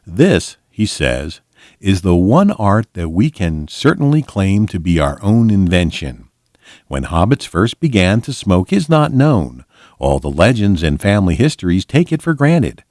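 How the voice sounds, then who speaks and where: A man reading a fantasy book aloud as a volunteer narrator, in a measured, expressive storytelling delivery. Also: sits low, dry recording, clean studio sound.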